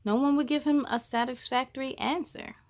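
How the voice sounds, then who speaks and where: A woman reading a children's picture book aloud in an expressive, measured storytelling style. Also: high voice.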